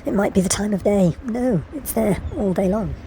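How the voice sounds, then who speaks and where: A woman's voice: mid-range voice, moderate pace, slight background noise, low-quality recording. A woman narrating a travel vlog in a wry, opinionated, meandering way, full of asides. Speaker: travel vlogger. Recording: voice-over with loud music behind.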